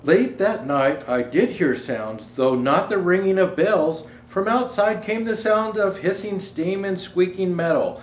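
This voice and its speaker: Santa Claus, played by a man: jolly old storybook reader, fairly high-pitched, chatty, faint noise behind. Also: animated, a little slow, few pauses. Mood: gentle storytelling. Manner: jovial ho-ho greetings, chatty asides. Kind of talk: children's storybook read-aloud. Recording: roomy echo, faint background noise.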